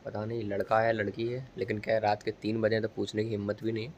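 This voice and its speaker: A man's voice: lowish voice, level tone, fast, darkish tone. A young man gives a casual, comic movie review in mock-formal storytelling style, recorded on a phone. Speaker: vlogger and amateur film reviewer. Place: outdoors at a railway station at night. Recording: noisy outdoor ambience on phone.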